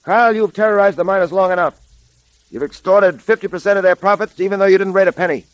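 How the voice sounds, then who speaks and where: An actor playing a stern, commanding detective hero with firm, formal delivery in a 1930s radio drama. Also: male voice, sits high, fast talker, no echo, muffled recording, lightly acted. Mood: stern warning. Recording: vintage 1930s radio recording.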